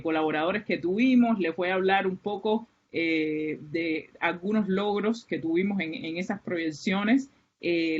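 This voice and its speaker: A woman's voice: fairly low pitch, breathy edge. A woman from Cuba with a Cuban Spanish accent, giving an academic seminar with many fillers and restarts. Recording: muffled, lo-fi online lecture audio.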